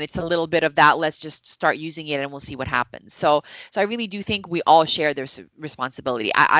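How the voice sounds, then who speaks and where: A woman's voice: low voice, pushed and bright, no room sound. A woman from Serbia, a psychologist, answering earnestly in a podcast interview, with frequent restarts and fillers. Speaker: psychologist and parenting coach, guest. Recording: dull lo-fi, dry sound.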